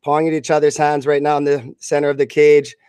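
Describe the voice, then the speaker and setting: A man's voice: a little high, completely flat delivery, fast talker, darkish tone, clear, resonant tone, no echo. A man doing live blow-by-blow radio commentary on a fight in short bursts.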